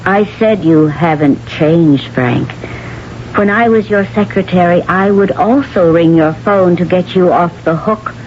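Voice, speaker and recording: An actress playing a calm, wry former secretary who speaks in a dry, gently pointed way, in a 1970s radio drama. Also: female voice, lowish voice, measured pace, close to an everyday voice. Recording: dull 1970s radio broadcast with faint hiss.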